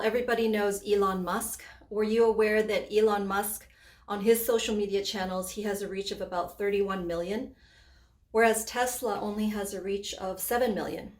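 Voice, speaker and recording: A woman's voice: mid-range voice, roomy sound. A woman in her forties, raised between Hong Kong and Canada, giving a conversational webinar presentation with frequent fillers and restarts. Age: 40s.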